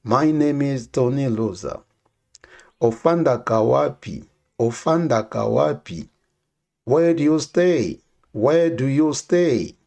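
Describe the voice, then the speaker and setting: A man teaching English phrases, saying each one twice, slowly and clearly, for learners to repeat. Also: medium pitch, lively intonation, warm tone. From Democratic Republic of the Congo. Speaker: language teacher. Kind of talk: casual spoken language lesson with repeated phrases.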